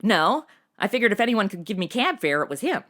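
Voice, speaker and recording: A woman's voice: medium pitch, very animated, fairly quick, fairly bright tone. A woman playing a chatty, cheeky, jittery modern young woman in a comedy audio drama, slangy and over-caffeinated.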